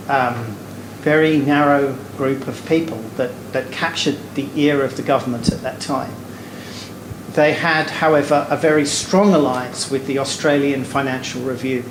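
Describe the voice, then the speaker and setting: A man answering an audience question on a panel stage, wry and digressive with many fillers. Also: medium pitch. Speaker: lecturer. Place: stage before a live audience in a hall. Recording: echoey hall, air conditioning hum.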